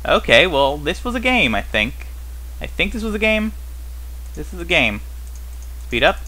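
A man with a fairly high, bright, forceful voice hosts a casual gameplay commentary. He rambles as he thinks aloud, often putting questions to himself. His humour is wry, with a mock-puzzled edge. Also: big pitch swings, normal speed, rough recording. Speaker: host of a gaming series.

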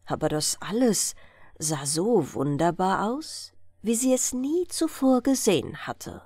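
A woman narrating a fairy-tale audiobook for bedtime in an expressive, measured storytelling manner. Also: medium pitch, slightly rough, dry recording, studio quality.

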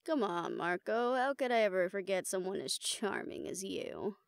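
A woman's voice: medium pitch, moderate pace, clean tone, no room sound, clean studio sound, close to an everyday voice. A woman playing a confident, teasing monster hunter in an audio roleplay, with a wry, coaxing manner. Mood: charming, coaxing. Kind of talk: intimate scripted audio drama acting.

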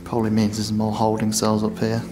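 A British man exploring an abandoned building, giving calm, musing commentary. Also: fairly low pitch, fairly quick, noisy background. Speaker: urban explorer host.

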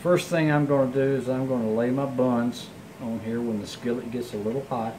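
A man's voice: mid-range voice, fairly even intonation, fairly quick, warm tone, slight background noise, poor audio. A middle-aged man, chatting folksily while demonstrating a recipe in his home kitchen. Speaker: home cooking show host. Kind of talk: casual cooking demonstration. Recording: sizzling frying pan behind.

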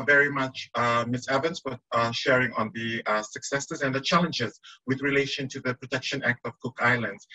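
A man's voice: mid-range voice, pushed and bright. A man moderating a conference session, polite and formal, with frequent hesitations. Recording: dull video call audio.

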